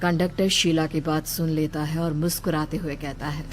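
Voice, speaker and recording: A woman's voice: sits low, level tone, normal speed. A woman reading a scripted horror story as its narrator. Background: background music.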